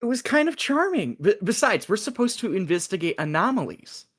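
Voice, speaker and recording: A man's voice: high-pitched, very animated, fairly quick, a bit bright, dry recording, clean studio sound, heavily acted. A man playing an oblivious, defensive agent who glibly justifies himself in a script read-through. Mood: sheepish, defensive.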